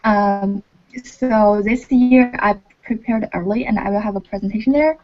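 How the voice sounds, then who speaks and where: Woman from China, PhD student, earnest, halting, muffled audio. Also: mid-range voice. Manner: earnest, halting, many fillers. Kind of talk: casual online meeting chat. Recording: muffled online call audio.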